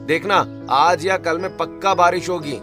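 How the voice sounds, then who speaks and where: A man's voice: fairly high pitch, steady tone, normal speed, over music, lightly acted. A man voicing a cheerful young farmer brother who teases his family in a cartoon story. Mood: optimistic.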